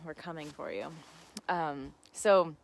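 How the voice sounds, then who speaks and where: A woman's voice: deep voice, expressive, takes it slowly, vocal fry, dry recording. A woman hosting a casual live gardening Q&A, chatting informally and expressively with enthusiasm as she answers viewer questions.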